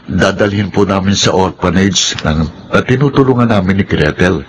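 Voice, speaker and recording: Gentle, dutiful young husband, fairly low, respectful, radio drama, faint background noise. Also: male voice, expressive, moderate pace. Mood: polite, glad. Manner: polite, earnest. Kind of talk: Filipino radio drama acting.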